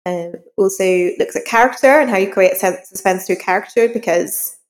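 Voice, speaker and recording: A woman's voice: mid-range voice, moderate pace. A woman giving informal interview answers as a guest author, thinking aloud as she talks. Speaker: crime novelist, podcast guest. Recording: reverberant hall-like echo.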